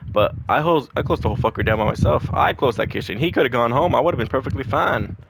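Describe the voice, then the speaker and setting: Gravelly young man venting profanely. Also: mid-range voice, fast, noisy background. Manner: profane, rambling, animated retelling. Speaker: vlogger. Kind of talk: casual venting storytime.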